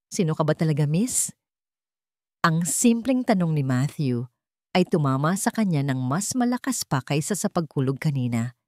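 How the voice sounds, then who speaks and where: A woman's voice: deep voice, a little mellow, no room sound, studio quality. A woman with a Filipino accent narrating a scripted dramatic story.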